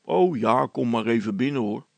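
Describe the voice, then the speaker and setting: A man's voice: medium pitch, lively intonation, normal speed, vocal fry, darkish tone, no room sound. A man voicing a good-natured, proud village policeman with a folksy, rambling, hesitant way of talking in a comic story. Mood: proud, genial. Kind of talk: character voice in a read-aloud comic story.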